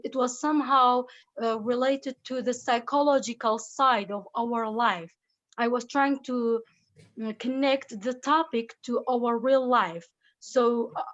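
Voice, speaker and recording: A woman teacher and parent talking casually in an online meeting, halting and searching for words. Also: a little high. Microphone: video call. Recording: lo-fi video call audio.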